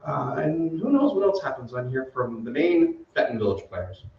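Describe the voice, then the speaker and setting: A man's voice: medium pitch, animated, moderate pace, vocal fry. Informal man addressing a very echoey theater. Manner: informal, off the cuff. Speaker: community theater director introducing a show. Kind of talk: casual welcome speech to an audience. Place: theater stage before an audience. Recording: very echoey hall, distant mic, muffled.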